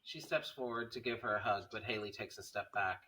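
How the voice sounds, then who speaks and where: A man's voice: fairly low pitch, brisk, bright, forceful tone. A man reading stage directions as narrator in a scripted table read of a screenplay.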